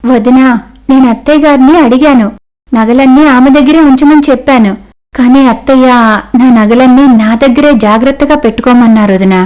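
A woman's voice: high voice, fairly quick, darkish tone, pitched below the performer's own voice, lightly acted, rough recording. A woman voicing a polite, dutiful young daughter-in-law with a deferential manner in a cartoon moral story. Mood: placating.

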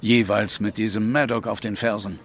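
An actor playing an earnest, dutiful police inspector in a German audio drama, formal and matter-of-fact. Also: male voice, on the low side, flat delivery, moderate pace, natural voice.

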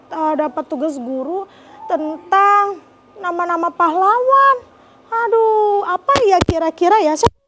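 A woman's voice: very high-pitched, background noise. A young woman hosting a children's show, chatting playfully in exaggerated, wondering tones as she addresses the children directly. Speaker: children's show host and storyteller. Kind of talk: playful storytelling chat with children. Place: TV studio stage with children seated around. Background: music.

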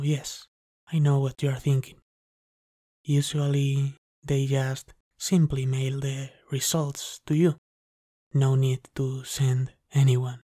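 A man playing an obsessive, polite vampire lab technician in a slow, intimate ASMR roleplay, speaking softly and haltingly. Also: medium pitch, no room sound, clean studio sound. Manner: hushed, halting, deliberately polite. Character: soft-spoken obsessive male vampire lab technician, courteous, menacing.

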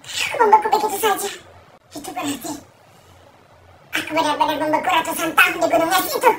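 A woman voicing an earnest young bald boy hero in a comedy parody sketch, with a high squeaky kid voice. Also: fast talker, heavy background noise.

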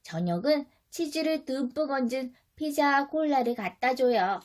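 Woman playing a high-pitched, whiny little storybook prince. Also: expressive, measured pace. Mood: busy, impatient. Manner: exclamatory, grumbling. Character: young prince, busy, whiny, self-important little boy.

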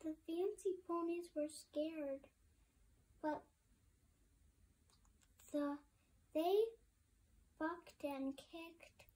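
A young girl reading a children's storybook aloud in a halting way, restarting words as she goes. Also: high-pitched, fairly even intonation, darkish tone. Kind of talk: halting read-aloud of a picture book.

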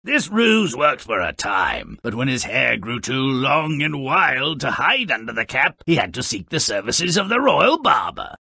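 An actor voicing a gleefully morbid, sardonic dwarf storyteller in a video game, raspy, mocking and theatrical as he narrates twisted fairy tales. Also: mid-range voice, wildly swooping pitch, a little slow, hardly any pauses, bright, forceful tone, no echo, clean studio sound, full character voice. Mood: wry storytelling. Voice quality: raspy, gravelly. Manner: mocking, theatrical, relishing the gruesome.

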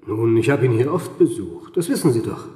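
An actor playing a grave, melancholic doctor with a measured, understated delivery in a German radio play. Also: male voice, mid-range voice, sing-song, dark tone, breathy edge, dry recording. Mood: restrained. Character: grave, melancholic family doctor recounting a patient's fate.

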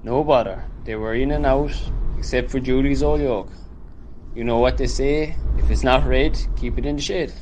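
A man's voice: mid-range voice, moderate pace, darkish tone, lightly acted. A man playing a laconic, wisecracking Irish village hall caretaker in an amateur radio drama. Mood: wry. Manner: laconic, joking. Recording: noticeable background noise.

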